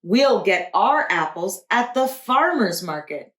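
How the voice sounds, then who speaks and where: A woman voicing a kindly, patient storybook grandfather with an easygoing, gently teasing manner. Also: mid-range voice, big pitch swings, takes it slowly. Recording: roomy echo.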